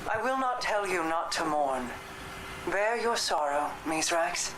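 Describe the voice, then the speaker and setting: A woman voicing a calm, commanding queen in a video game cutscene, speaking in a measured, formal way. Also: lowish voice. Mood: regal. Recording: echoey game audio with noise.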